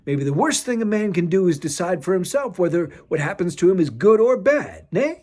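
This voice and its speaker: A man's voice: sits high, big pitch swings, fairly quick, darkish tone, pitched above the performer's own voice. A man voicing Chang, an earnest young soldier musing about luck. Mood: reflective.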